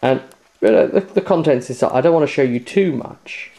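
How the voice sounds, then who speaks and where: Male host for a tabletop game unboxing: mid-pitched, informal, jokey, a bit theatrical. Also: lively intonation, moderate pace, gravelly, warm tone. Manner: playful, jokey, theatrical flourishes, chatty. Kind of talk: casual unboxing review to camera.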